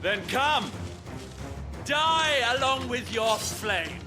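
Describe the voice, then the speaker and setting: Brief: arrogant game henchman, high male voice, slow scornful declamation, heavy music underneath. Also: lively intonation, heavily acted. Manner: sneering, declamatory. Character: contemptuous, haughty enemy soldier, bellowing threats. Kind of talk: theatrical video game villain acting.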